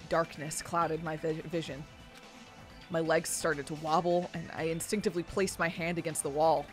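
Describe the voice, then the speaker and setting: A woman's voice: low voice, fairly even intonation, moderate pace, soft background music, natural voice. A woman voicing a shocked, grieving young man narrating a video game story in a subdued, solemn way.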